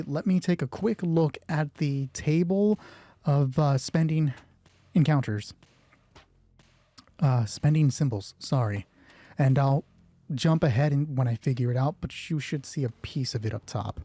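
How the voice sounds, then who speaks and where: A man's voice: fairly high pitch, warm tone, no room sound. A man casually narrating an improvised solo roleplay game, thinking aloud and swearing offhandedly.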